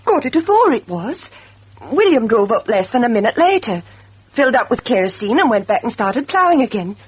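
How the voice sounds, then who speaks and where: An actress playing a plain-spoken country farm wife in a radio drama, with a husky voice. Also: female voice, fairly high pitch, big pitch swings, fairly quick, exaggerated voice. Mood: matter-of-fact. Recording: dull old radio recording.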